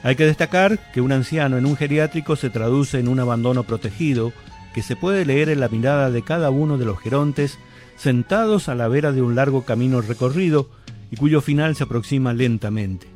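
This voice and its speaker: A man's voice: mid-range voice, fairly quick, darkish tone, soft background music. A man with an Argentine accent reading scripted documentary narration in a formal, solemn manner.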